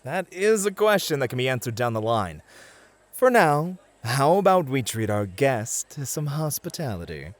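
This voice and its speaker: A man acting in an ASMR roleplay as a smooth, confident, flirty club host who reassures and charms. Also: medium pitch, very animated, moderate pace, close to an everyday voice. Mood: welcoming, charming.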